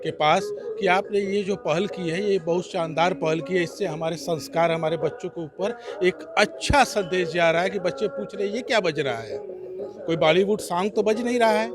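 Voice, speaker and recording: Male neighbourhood supporter, high voice, gushing and devout, speaking in long unbroken sentences. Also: normal speed, over music. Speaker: local supporter interviewee. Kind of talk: spontaneous interview testimonial.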